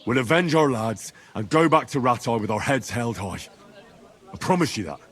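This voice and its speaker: An actor playing an earnest, level-headed and loyal young squire in a medieval video game. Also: male voice, mid-range voice, animated, normal speed, fairly bright tone, close to an everyday voice. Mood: resolute. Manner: earnest, reassuring. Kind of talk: video game cutscene acting.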